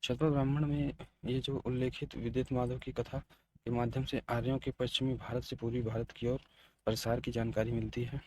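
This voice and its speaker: A man giving an exam-preparation lecture as a teacher, haltingly repeating and restating his key phrases. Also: medium pitch, a little hiss or hum. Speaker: teacher of exam history lessons.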